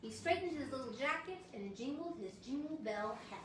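A woman in her thirties reading a children's picture book aloud to a small audience, a lively storyteller. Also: sits high, fairly quick, a little hiss or hum. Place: hotel lobby with Christmas tree and seated guests. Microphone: camera across the room. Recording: roomy echo, voice from a distance.

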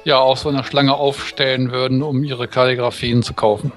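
A man chatting casually and helping translate, halting and correcting himself as he goes. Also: medium pitch, level tone, soft background music. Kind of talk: casual chat.

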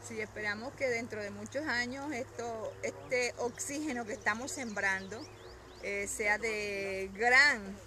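A woman's voice: a little high, unhurried, background noise. Earnest woman foundation head outdoors. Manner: grateful, earnest. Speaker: foundation president. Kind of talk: casual outdoor interview. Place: outdoor park. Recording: crowd chatter behind.

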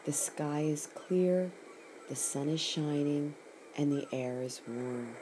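Slow, low-voiced woman guiding meditation. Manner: soothing, measured, with long pauses between short phrases. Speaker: meditation guide. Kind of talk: guided imagery meditation narration, scripted. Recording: clearly noisy recording with ambient sound. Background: ocean sounds.

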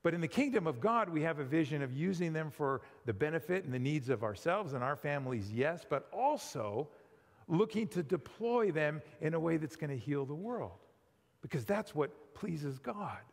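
A middle-aged man preaching a sermon in a church sanctuary, conversational and animated, often asking his listeners questions. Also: on the high side, normal speed. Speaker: pastor. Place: large church sanctuary with pipe organ. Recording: long hall reverb.

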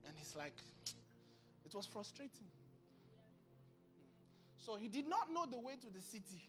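Animated male pastor preaching on a church stage. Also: very high-pitched, measured pace, music in the background. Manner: animated, acts out dialogue, bursts of volume. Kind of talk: sermon. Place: large church stage with purple lighting. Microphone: handheld mic.

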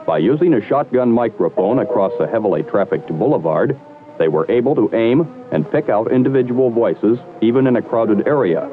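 A man reading scripted narration for a 1960s training film in a formal, measured, slightly wry manner. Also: medium pitch, animated, over music. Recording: muffled old 1960s film soundtrack.

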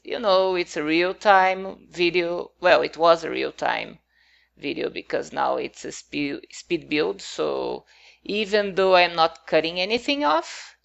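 Slow, gravelly non-native English-speaking woman. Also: lowish voice, highs cut off. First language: not English. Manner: hesitant, rambling, many fillers. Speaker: game builder narrating a build. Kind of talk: casual voice-over commentary.

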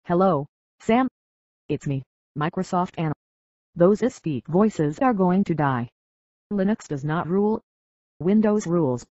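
A text-to-speech woman's voice playing a revived female robot who gloats in a flat machine tone. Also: fairly low pitch, measured pace, pauses often, no room sound. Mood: gloating. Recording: dry lo-fi synthesized audio.

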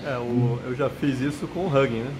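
A man's voice: medium pitch, steady tone, brisk. A Brazilian man in an audience, making casual technical comments and asking questions. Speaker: audience member. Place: auditorium audience. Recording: very echoey hall, clear background noise.

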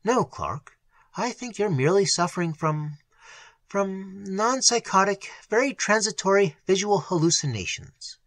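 A man voicing a cordial, concerned scholarly gentleman, earnest and emphatic, in a horror audiobook. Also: sits high, no echo, voice pushed up, full character voice. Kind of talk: dramatic horror audiobook acting.

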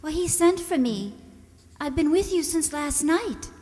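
A concerned, placating older sister in an old-time radio mystery, acted by a woman on stage. Her voice is high and very expressive, coaxing as she goes. It rings in an echoey hall. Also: a little slow. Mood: soothing, concerned. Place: stage in a community hall, stand microphone.

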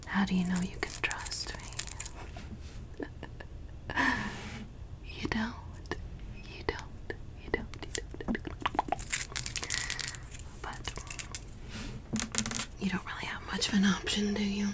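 A young woman in ASMR roleplay as a mysterious forest witch who tends a lost traveler, whispering softly close to the mic and repeating words soothingly in a small dim room. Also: mid-range voice, little pitch movement, slow pace, long pauses, close to an everyday voice. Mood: teasing. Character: mysterious, teasing forest witch woman, caring yet ominous. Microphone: close studio mic.

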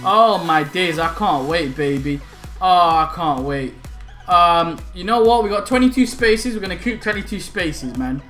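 A man's voice: sits high, lively intonation, a little slow. A young man giving hyped, slangy commentary while opening game packs at home. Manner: hyped, shouting and laughing, slangy banter. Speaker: gaming YouTuber. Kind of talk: excited pack-opening commentary. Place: small home room, indoors. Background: faint background music.

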